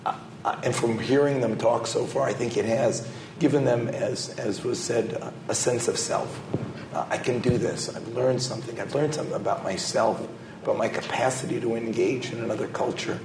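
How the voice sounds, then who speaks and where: A man with a cold and a raspy voice, speaking reflectively as a panelist with frequent fillers. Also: medium pitch, fairly even intonation, normal speed. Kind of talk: panel discussion remarks. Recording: echoey room with background noise.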